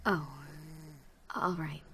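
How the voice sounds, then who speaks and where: Woman acting a calm, low-voiced young survivor. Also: extremely slow, slight background noise, full character voice. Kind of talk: audio drama dialogue.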